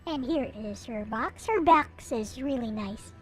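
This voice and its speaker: High-pitched woman in casual show-and-tell, music behind. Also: lively intonation, unhurried. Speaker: streamer showing collectible anime figures.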